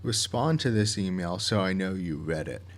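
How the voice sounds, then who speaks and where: Low-voiced man narrating step-by-step instructions. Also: lively intonation, moderate pace. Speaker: project director giving audition instructions.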